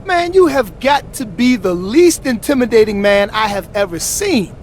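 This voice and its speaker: A man's voice: sits high, expressive, normal speed, slight background noise. A man playing a scornful rival wrestler in a video game, mocking and ridiculing. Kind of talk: video game cutscene acting.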